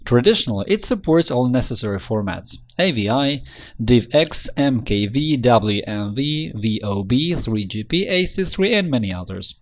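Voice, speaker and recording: A man reading a scripted software tutorial in an instructional, step-by-step manner. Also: mid-range voice, animated, moderate pace.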